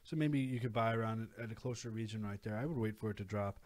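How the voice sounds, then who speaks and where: A man's voice: fairly low pitch, quick pace, darkish tone. A young man hosting a casual video, talking through price charts with lots of fillers and restarts. He is losing his voice. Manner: rambling, many fillers and restarts. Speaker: crypto channel host. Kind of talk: casual screen-share chart commentary.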